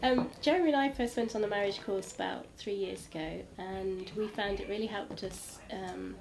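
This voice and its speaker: A woman in her forties giving a gentle, hesitant testimonial on a living room sofa. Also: medium pitch, moderate pace, vocal fry, noisy background. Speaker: married couple sharing a testimonial. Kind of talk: casual testimonial.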